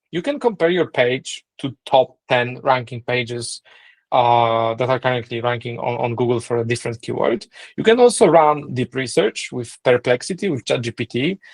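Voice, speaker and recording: Middle-aged man, Polish accent, non-native English, podcast guest, restarts often, mid-pitched voice. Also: normal speed. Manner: thinking aloud, frequent restarts and "right?" checks. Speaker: co-founder and marketing lead, guest. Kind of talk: podcast interview.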